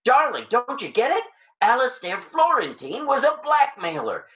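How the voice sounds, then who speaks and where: Dull vintage radio sound, suave American male detective, flippant, very lively intonation, bantering. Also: extremely high pitch, normal speed, heavily acted. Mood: intrigued. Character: suave, wisecracking married male private detective. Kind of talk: 1940s radio drama acting. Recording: dull old radio recording.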